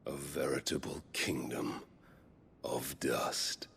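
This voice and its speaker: A menacing game villain, a vengeful warlord with a very low, gravelly voice. He speaks slowly and deliberately, with theatrical mockery, over a faint rumble. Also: fairly even intonation, fairly bright tone, full character voice. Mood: mocking, gloating. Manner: theatrical, slow, mocking, deliberate. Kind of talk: video game cutscene acting.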